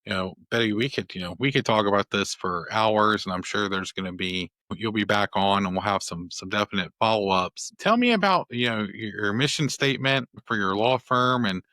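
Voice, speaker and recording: Low, bright-toned man hosting. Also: normal speed, few pauses, no room sound. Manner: thoughtful, rambling with many restarts and "you know". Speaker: podcast host, family law attorney. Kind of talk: conversational interview.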